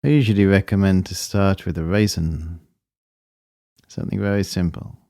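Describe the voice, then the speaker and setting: A man leading a guided meditation, speaking in a calm, measured and gently instructing manner. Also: low voice, vocal fry, dry recording, studio quality.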